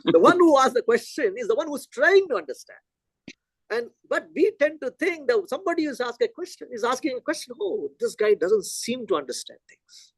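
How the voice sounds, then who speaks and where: A middle-aged man from India, a Telugu native, giving a physics lecture in an animated, conversational way and thinking aloud. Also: extremely high pitch, brisk, husky, no room sound, rough recording.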